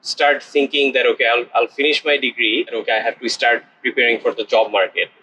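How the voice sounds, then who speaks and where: A man asks casual interview questions in a bright, crisp-edged voice. His pitch is average for a man. A faint noise sits in the background. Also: steady tone, brisk, low-quality recording. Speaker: interviewer, program mentor.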